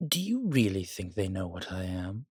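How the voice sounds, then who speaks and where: A man's voice: deep voice, sing-song, normal speed, breathy edge, dry recording, studio quality, exaggerated voice. A man playing an arrogant, menacing vampire warrior in an audio roleplay, haughty and theatrical, with suave affection for the listener. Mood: amused disdain. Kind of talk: dramatic roleplay monologue, addressing a listener.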